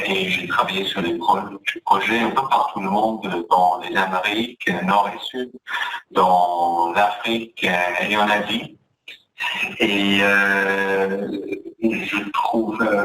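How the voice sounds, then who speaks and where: A man's voice: lowish voice, sharp tone. Roomy, distant recording of an Irish-accented man. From Ireland. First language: English. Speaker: engineer on a discussion panel. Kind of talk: unscripted self-introduction, measured and hesitant. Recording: roomy, distant microphone with faint noise.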